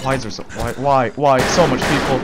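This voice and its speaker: A man's voice: mid-range voice, steady tone, fairly quick. A man joking and laughing with friends during an online shooter game, teasing other players. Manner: laughing, teasing, calls people fam. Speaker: gamer. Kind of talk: casual gaming banter. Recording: loud game noise and gunfire.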